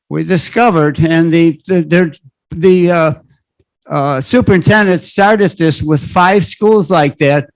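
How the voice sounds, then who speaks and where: Older man, smooth, rambling panel talk. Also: fairly high pitch, no room sound. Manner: rambling, halting with restarts. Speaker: retired principal. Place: library event room. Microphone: handheld microphone.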